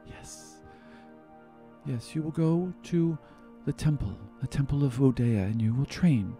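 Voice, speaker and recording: A man voicing a gentle, sorrowful father in a tabletop roleplay, speaking tenderly, solemnly and haltingly. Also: medium pitch, animated, soft background music. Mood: grave, sad. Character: gentle, sorrowful elven father revealing a painful secret.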